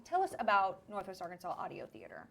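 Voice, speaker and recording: A woman's voice: mid-range voice, huge pitch swings, fast talker, creaky, a bit bright. A woman hosting a broadcast interview in an upbeat presenter manner. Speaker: TV show host.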